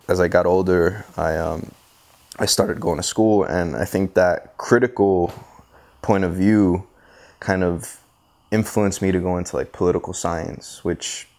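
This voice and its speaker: A man, giving reflective interview answers in a halting, thoughtful way. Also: deep voice, darkish tone. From Costa Rica, later United States. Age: 20s. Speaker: interview guest, photographer and visual artist. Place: living room, indoors on a sofa. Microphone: clip-on lapel mic.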